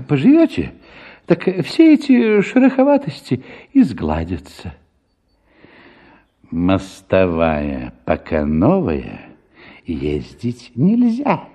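Pushy dad in radio drama, slow n mellow but swoops all over, coaxing n exclaiming. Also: male voice, low voice, huge pitch swings, slightly rough, heavily acted. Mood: jovial, cajoling. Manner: expansive, cajoling, exclamatory, repeats words. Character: pushy, wheedling father bent on marrying off his daughter. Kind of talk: theatrical radio performance of a classic Russian story.

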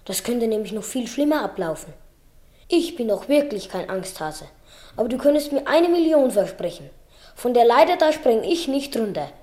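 A schoolboy pal in a radio play, high mellow voice, soft and earnest. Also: female voice, big pitch swings, normal speed. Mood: earnest admiration. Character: loyal, gentle schoolboy friend. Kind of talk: scripted radio drama.

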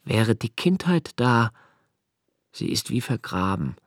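An actor playing a solitary, brooding young poet of twenty-eight who narrates his own thoughts in a literary radio drama, in a slow, measured, contemplative way. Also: mid-range voice, very animated, dry recording, clean studio sound. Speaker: first-person narrator of a radio play.

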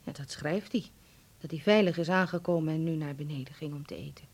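A woman's voice: low voice, fairly quick, a little mellow. An actress playing an anxious, doting middle-aged mother, worried and tender, in a radio drama.

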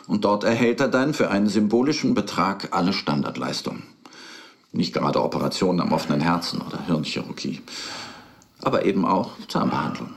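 An actor playing a serious man who gives measured, matter-of-fact interview testimony in a radio drama. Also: deep voice, creaky.

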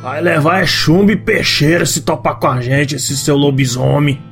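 A man's voice: a little high, fairly even intonation, moderate pace, gravelly, faint music behind, pitched above the performer's own voice. A man voicing a brash, boastful bandit of the backlands in an audio drama, blustering and hot-headed. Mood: scornful bravado. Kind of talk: audio drama character acting.

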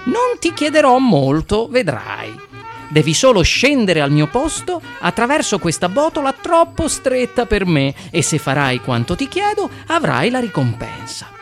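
An overbearing storybook sorcerer, acted out by a male reader, urgent and commanding. The voice jumps high and swoops widely over light music. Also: wildly swooping pitch, measured pace, full character voice. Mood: coaxing. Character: impatient, bullying mysterious male sorcerer with piercing eyes.

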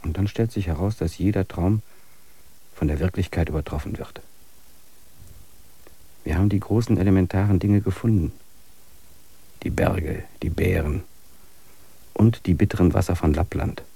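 A man's voice: low-pitched, moderate pace, gravelly, pauses often, dark tone, slightly rough. A man in a classic radio play speaking earnestly, musing on dreams and reality in a philosophical tone. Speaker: main character and reflective narrator.

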